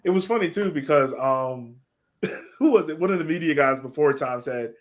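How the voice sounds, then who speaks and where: A man's voice: fairly high pitch, animated, normal speed. A man co-hosting a sports podcast, breaking down a soccer match in an informal way, thinking aloud as he goes.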